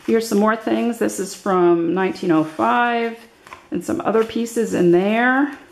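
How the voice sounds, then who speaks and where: An older woman giving a casual, unscripted show-and-tell as a craft vlogger, halting and thinking aloud as she goes. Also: medium pitch, creaky. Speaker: craft vlogger showing her handmade journals. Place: indoors at a table, hands-only overhead camera.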